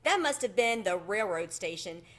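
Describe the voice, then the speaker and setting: A woman's voice: medium pitch, animated, moderate pace, a bit bright, lightly acted. A woman voicing a polite, modest male country cricket in a children's storybook, earnestly telling his adventure. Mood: worried. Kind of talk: children's storybook read-aloud.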